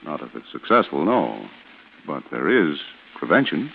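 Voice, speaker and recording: An actor playing a polite, probing small-town official with a hesitant, matter-of-fact way of questioning, in an old-time radio suspense drama. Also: male voice, extremely low pitch, big pitch swings, normal speed, vocal fry, full character voice. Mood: firm, official. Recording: noisy, tinny vintage radio broadcast.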